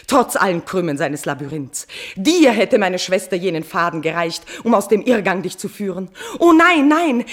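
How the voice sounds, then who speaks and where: A tragic queen in a classical verse radio play, consumed by forbidden love. She declaims in a mid-pitched voice, feverish and stately, sinking into whispers and swelling into passionate outbursts. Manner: impassioned declamation, sudden drops to breathy whispers and swelling outbursts. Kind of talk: classical verse tragedy, stage-like radio drama acting.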